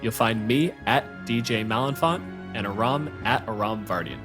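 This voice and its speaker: A man's voice: fairly low pitch, normal speed, over music. A man co-hosting a podcast as game master, talking casually about the play. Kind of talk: casual tabletop game commentary.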